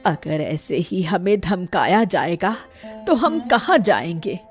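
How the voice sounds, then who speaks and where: Slow, lowish old village aunt played by a woman. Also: big pitch swings, faint music behind. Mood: worried. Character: caring old village aunt, practical and steady. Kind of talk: Hindi animated moral story dialogue.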